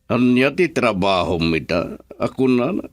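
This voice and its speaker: A man's voice: medium pitch, very animated, normal speed, dry recording, natural voice. Haughty rich father played by a man, swooping delivery. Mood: stern, scornful. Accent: Filipino. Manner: stern, condescending, emphatic. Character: wealthy, haughty, disapproving father of a young woman. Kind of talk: radio drama acting.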